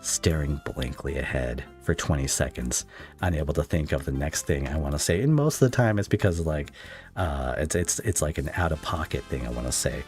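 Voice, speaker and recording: A man's voice: rumbling low, lively intonation, a little fast, a little mellow, faint music behind. A man hosting a casual interview, speaking informally with somewhat hesitant phrasing. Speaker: podcast host, interviewer.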